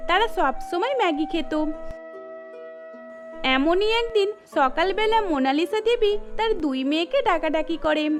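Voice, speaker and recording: A woman's voice: very high voice, expressive, measured pace, faint music behind. A woman reading scripted narration for a cartoon story as its storyteller.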